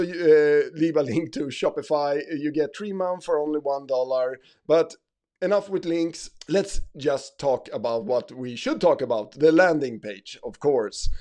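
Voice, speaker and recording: Male host, slightly higher pitch, halting walkthrough. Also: warm tone. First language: not English. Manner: halting, many fillers and restarts. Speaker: ecommerce channel host. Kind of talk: screen-share tutorial.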